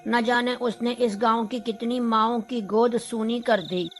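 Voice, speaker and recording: A woman's voice: fairly high pitch, fairly even intonation, unhurried, faint music behind, pitched above the performer's own voice, lightly acted. A woman voicing a distressed villager who pleads and laments in a dramatic moral story. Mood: pleading, distressed.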